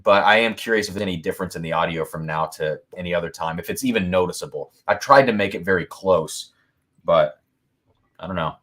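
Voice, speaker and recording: Loud, excitable man chatting on a livestream. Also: low-pitched, fairly quick. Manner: loud, excitable, laughs often, reads chat aloud. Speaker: whiskey livestream host. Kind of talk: casual live-stream chat. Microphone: boom mic moved back from mouth.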